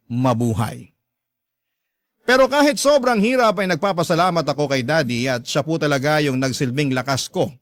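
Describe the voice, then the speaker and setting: Male Filipino radio narrator, slightly high, very dry sound, earnest delivery of a scripted personal letter. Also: no echo. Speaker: radio host reading a listener's letter. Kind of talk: dramatic scripted storytelling.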